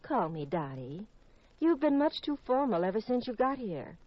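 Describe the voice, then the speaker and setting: Flirty widow on vintage radio. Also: female voice, fairly high pitch, very animated, normal speed. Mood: coy, breezy. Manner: coy, teasing, unsentimental. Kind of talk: 1950s radio detective drama acting. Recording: dull vintage radio recording.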